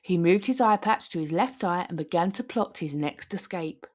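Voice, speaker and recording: A woman reads a picture book aloud for children. Her pitch moves widely and expressively as she narrates. She is theatrical, drawing out important words for dramatic emphasis. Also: expressive, normal speed. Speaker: children's storyteller.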